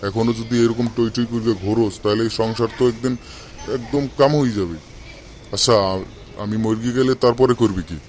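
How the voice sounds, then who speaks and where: A man's voice: mid-range voice, steady tone, moderate pace, darkish tone, a little hiss or hum, lightly acted. A man playing a weary, complaining old father in a cartoon, with a Bangladeshi accent. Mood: scolding. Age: older. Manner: complaining, reproachful, lamenting. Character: weary, scolding old father of a poor family. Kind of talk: amateur cartoon voice acting in colloquial Bengali.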